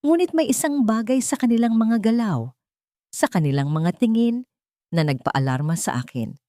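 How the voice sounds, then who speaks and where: A woman's voice: fairly high pitch, expressive, normal speed, dark tone, no echo, clean studio sound. A woman reading a scripted dramatic story as narrator.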